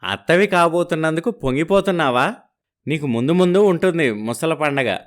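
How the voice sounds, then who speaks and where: Man acting a sardonic, grumbling father, raised pitch. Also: lively intonation, moderate pace, close to an everyday voice. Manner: sardonic, teasing. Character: grumbling, sceptical middle-aged father and husband.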